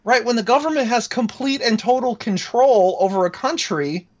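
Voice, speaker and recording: A man's voice: very high voice, normal speed. A man giving casual political commentary in an explanatory, emphatic way, heard over a dull, lo-fi connection. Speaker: talk show participant discussing politics.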